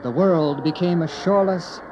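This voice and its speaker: A man's voice: fairly high pitch, normal speed, noisy background. A man narrating a scripted Bible story with grave, dramatic storytelling. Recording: dull lo-fi recording.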